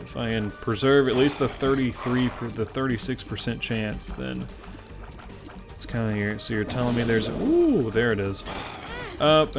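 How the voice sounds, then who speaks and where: Man, 20s, Southern Tennessee accent, low voice, gravelly, casual gameplay commentary. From Memphis, Tennessee. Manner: rambling, self-deprecating, chatty. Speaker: gaming channel host.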